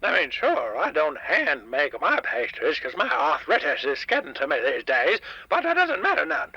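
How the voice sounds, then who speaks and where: Stubborn elderly male caller, acted by a man, bright forceful rasp, huge pitch swings, grumpy folksy rambling, radio filter. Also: wildly swooping pitch, moderate pace, no room sound, highs cut off, heavily acted. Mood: indignant, bitter. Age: older. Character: grumpy, stubborn old male diner owner. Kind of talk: comedic radio drama guest. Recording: dry, band-limited radio call-in line.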